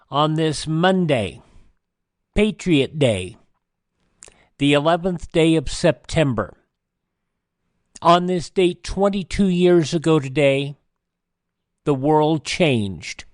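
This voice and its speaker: A man hosting a radio program, reading a scripted introduction in a measured, solemn way. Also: on the high side, no room sound, studio quality. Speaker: radio host.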